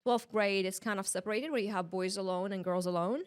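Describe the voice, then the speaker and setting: A woman's voice: medium pitch, fairly quick, clear, resonant tone. Casting: female interview guest from Iraq, middle-register voice, casual and explanatory with loose phrasing. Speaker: podcast guest.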